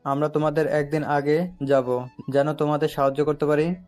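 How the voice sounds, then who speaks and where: A man acts as a cautious middle-aged father in a romantic audio drama. He is helpful, speaking in a flat, even pitch over faint music. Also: normal speed, lightly acted.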